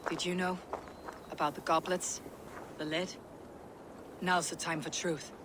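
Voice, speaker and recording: A woman playing a steady Viking leader who speaks in a calm, measured way. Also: on the low side, background noise, close to an everyday voice. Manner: terse, measured.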